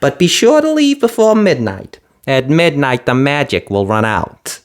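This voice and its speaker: Fiery fairy godmother, female, high-pitched, brisk, bossy, storybook, woman reader doing the voice. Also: sing-song, heavily acted. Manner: brisk, bossy, abrupt commands. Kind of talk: storybook read-aloud.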